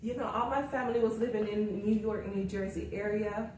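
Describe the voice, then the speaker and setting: A woman's voice: mid-range voice, fairly even intonation, brisk, a little mellow. Woman in her 40s, chatty and upbeat, laughs a lot, mic kinda far, big echo. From Jamaica. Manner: upbeat, laughs often while telling her story. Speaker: guest, author coach. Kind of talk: casual interview chat. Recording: roomy echo, distant mic, faint hiss.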